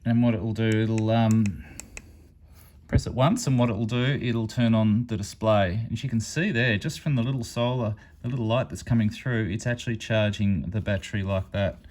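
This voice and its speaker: A man with a fairly low voice walks through a product demonstration in a casual, hands-on style. He explains things step by step and stays matter-of-fact, like a hobbyist reviewer hosting a channel. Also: normal speed, darkish tone. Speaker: amateur radio reviewer and channel host.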